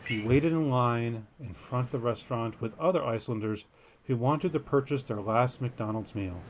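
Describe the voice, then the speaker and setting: A man's voice: medium pitch, normal speed. Man reading a story, jokey asides. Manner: jokey, easily sidetracked, self-deprecating. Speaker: podcast co-host. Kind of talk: reading a news story aloud with casual asides.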